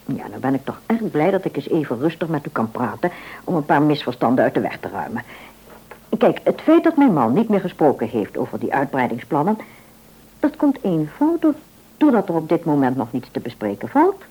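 Tactful boss's wife, radio play: mellow tone, very lively intonation, deliberate, courteous, quietly in control. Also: female voice, sits low, breathy edge, exaggerated voice, low-quality recording. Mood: reassuring, tactful. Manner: polite, deliberate, gently probing. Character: tactful, probing, self-assured wife of a shop owner. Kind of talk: naturalistic radio play acting.

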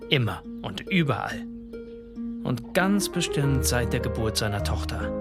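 Slow, measured male literary narrator. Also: medium pitch. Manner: measured, short poetic phrases. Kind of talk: scripted literary radio drama narration.

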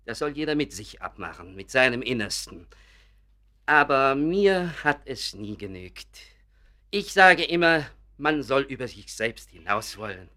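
An actor playing a vain, touchy bookkeeper who fancies himself an artist in a German radio play, self-important, hesitant and insistent. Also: male voice, mid-range voice, big pitch swings, unhurried, bright, forceful tone. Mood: aggrieved.

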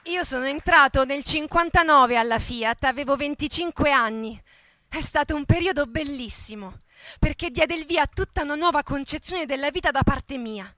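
An indignant young factory worker , played by a woman, tells his story in a theatre monologue. The voice is high, bright and forceful, with emphatic, questioning phrasing and a dry, close sound. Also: normal speed, dry recording. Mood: reflective. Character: indignant young male Fiat factory worker, recalling struggles.

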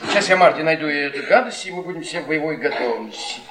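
Sardonic, high-voiced husband played by a man, roomy sound. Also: lively intonation, fast, over a noisy crowd, close to an everyday voice. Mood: mocking cheer. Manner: needling, sardonic, repeats words mockingly. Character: beaten-down, mocking middle-aged history professor husband. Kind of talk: Soviet-era Russian stage-style radio play acting. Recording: roomy distant stage-like 1980s radio play.

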